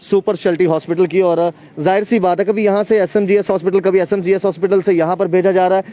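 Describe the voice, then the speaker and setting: A man working as a news reporter, asking earnest leading questions outdoors and filling pauses with "uh". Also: high-pitched, steady tone, dark tone. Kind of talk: field news report and interview. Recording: dull lo-fi outdoor news audio.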